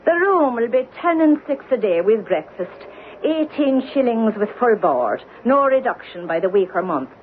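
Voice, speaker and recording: A woman's voice: on the high side, expressive, normal speed, lightly acted. An actress playing a tiny, eerie old Irish landlady with a brusque, questioning lilt in a radio drama. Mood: sharp, inquisitive. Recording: noisy narrow-band old radio broadcast.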